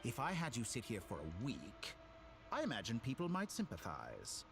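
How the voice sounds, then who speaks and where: A man voicing a smug, silky game villain with godlike power, theatrical and condescending in his mock-polite, deliberate delivery. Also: mid-range voice, huge pitch swings. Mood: sinister, coaxing. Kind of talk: scripted video game dialogue. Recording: game music behind the voice.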